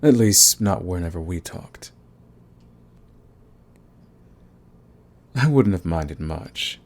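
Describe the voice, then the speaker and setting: A man's voice: low-pitched, expressive, a little slow, a little mellow. A man playing a smug, flirtatious villain who is fond of the enemy, in an intimate ASMR romance roleplay with taunting, drawling menace. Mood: casual, fond. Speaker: audio roleplay voice actor.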